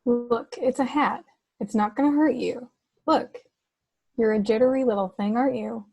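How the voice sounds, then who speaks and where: Woman as a creaky-voiced, plucky young princess. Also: on the high side, moderate pace, a little mellow. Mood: gentle, alert. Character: brave young princess, gently coaxing. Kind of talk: amateur table read acting. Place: remote video call from home.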